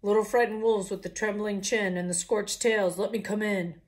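Woman voicing big bad pig, bullying, blustering, loud, storybook villain. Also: mid-range voice, fairly even intonation, moderate pace, lightly acted. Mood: menacing.

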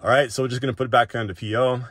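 A man's voice: mid-range voice, steady tone, racing, fairly bright tone, slightly rough, dry recording, studio quality. A man hosting a gadget walk-through in casual, unscripted tutorial talk, conversational and often checking in with short tag questions. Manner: conversational, often tags sentences with "right?".

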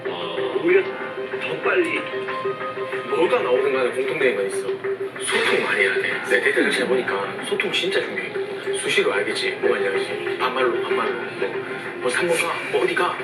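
A man on a TV competition show, briskly giving commanding orders to his team in casual speech. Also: mid-range voice, sing-song. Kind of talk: team briefing and interview. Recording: distant, echoey TV clip under loud music.

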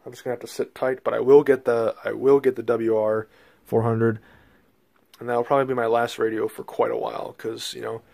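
An American man with a slightly gravelly voice giving a casual, halting, rambling video tour indoors on a handheld phone. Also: medium pitch, flat delivery, darkish tone. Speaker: host, hobbyist collector. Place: small bedroom, indoors. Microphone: handheld phone, speaker behind the camera.

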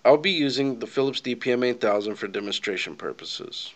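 A man reading scripted instructional narration for a software tutorial, giving clear step-by-step directions. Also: medium pitch, animated, a little fast.

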